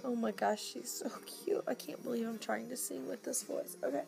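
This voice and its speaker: A woman with a hoarse, coughing voice, chatting casually to camera in a nursery at home and cooing softly over a baby doll. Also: on the high side, normal speed. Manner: gushing, coos softly to the doll. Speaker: reborn doll collector vlogger. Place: home nursery room, indoors. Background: Christmas music playing.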